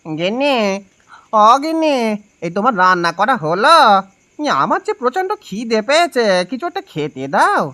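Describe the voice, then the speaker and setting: A man's voice: very high voice, very animated, normal speed, sharp tone, exaggerated voice. A man playing a poor village husband and father in a cartoon, weary, pleading and easily riled. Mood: calling out. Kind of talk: Bengali cartoon voice acting.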